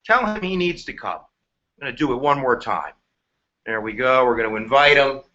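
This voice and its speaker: A man's voice: medium pitch, lively intonation, moderate pace, bright, forceful tone. A middle-aged man teaching a history review on a live stream, playful and slangy. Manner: playful and slangy, jumping into goofy voices. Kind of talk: energetic live-stream lesson. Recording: dull echoey room sound.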